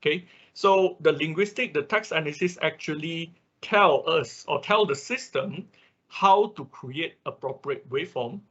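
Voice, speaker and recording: Husky male online instructor, dull audio. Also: a little high, a bit bright. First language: not English. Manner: halting, repetitive explaining with frequent check words. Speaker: instructor of an online robotics class. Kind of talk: informal explanatory lecture. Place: online class call.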